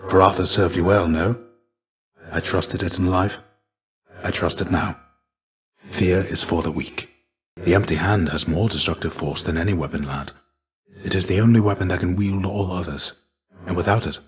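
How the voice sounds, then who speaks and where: An actor playing the ghost of a fallen Greek warrior in a video game, a gentle, fatherly mentor with measured, formal, old-fashioned phrasing. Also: sits low, close to an everyday voice. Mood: reflective. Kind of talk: video game character dialogue.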